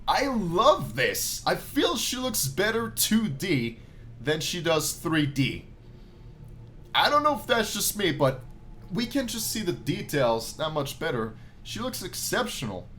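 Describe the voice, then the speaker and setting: Animated man doing let's play commentary. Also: high-pitched. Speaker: gaming YouTuber. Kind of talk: let's play commentary, reading visual novel text aloud. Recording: noticeable background noise.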